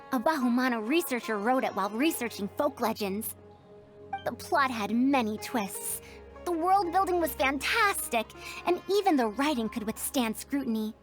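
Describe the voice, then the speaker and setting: A woman playing a haughty, old-fashioned scholar in an anime video game, speaking precisely and pedantically. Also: high-pitched. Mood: proud, nostalgic. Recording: game audio over clear background music.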